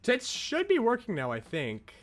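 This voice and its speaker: A man's voice: very high voice, wildly swooping pitch, moderate pace, faint music behind. A man live-streaming a visual novel, reading aloud and chatting.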